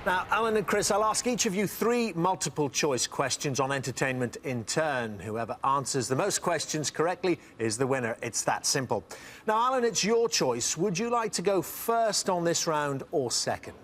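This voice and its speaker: A man hosting a studio quiz show, welcoming players, explaining rules and reading out questions in a brisk, upbeat way. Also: on the high side, animated, a bit bright.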